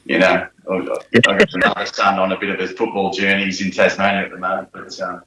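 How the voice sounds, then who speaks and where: Male guest, 40s, Australian accent, bright lower voice, easygoing storyteller. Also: quick pace. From Australia, New South Wales. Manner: relaxed storyteller, frequent fillers and laughs. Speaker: guest, former footballer. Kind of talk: casual interview chat. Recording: roomy echo.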